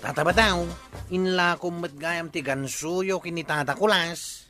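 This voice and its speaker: A man's voice: fairly high pitch, fairly even intonation, measured pace, pushed and bright, soft background music. A man playing a cheerful, nosy neighbor who asks curious questions in a comic radio drama. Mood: jovial.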